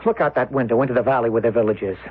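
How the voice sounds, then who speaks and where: A man's voice: mid-range voice, racing, breathy edge, lightly acted. Man playing a stubborn, earnest farmer, thin radio sound. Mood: pleading, insistent. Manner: argumentative, insistent. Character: earnest, stubborn farmer son-in-law, defiant idealist. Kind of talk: 1970s radio drama acting. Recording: thin, phone-like old radio recording.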